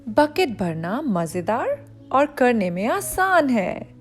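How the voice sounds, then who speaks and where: A woman's voice: on the high side, sing-song, slow, faint music behind. A woman reading a picture book aloud to children, explaining as she goes and speaking straight to the young listener. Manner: explanatory, addresses the child listener directly, glosses words. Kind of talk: expressive read-aloud narration for children.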